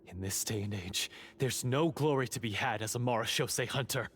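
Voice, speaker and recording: A man's voice: medium pitch, a little fast, soft background music, close to an everyday voice. A man voicing a bitter, scornful traitor hunter. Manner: resentful, scornful villain. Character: bitter male monster hunter turned traitor. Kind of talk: video game voice acting.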